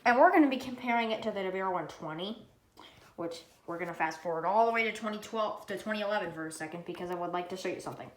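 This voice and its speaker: A woman giving a casual, unscripted gadget demonstration in a rambling, halting way, often correcting herself. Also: mid-range voice. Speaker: product reviewer. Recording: echoey room, loud background noise.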